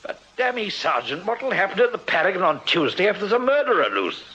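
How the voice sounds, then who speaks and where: An actor playing an eager, blustering retired Victorian army major in a radio drama, with a hearty upper-class English delivery. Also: male voice, high-pitched, big pitch swings, brisk, bright, forceful tone, husky, full character voice. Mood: blustering, indignant. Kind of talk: radio period drama acting. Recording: slightly dull radio drama recording.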